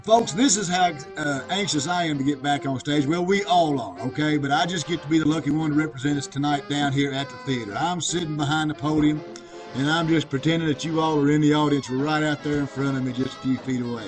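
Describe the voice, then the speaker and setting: A man's voice: on the high side, fairly even intonation, fast, distant mic, over music, poor audio. A man co-hosting a troupe's show from a theater podium, giving a casual, folksy welcome. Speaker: troupe member and co-host. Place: speaking from behind a podium in a theater.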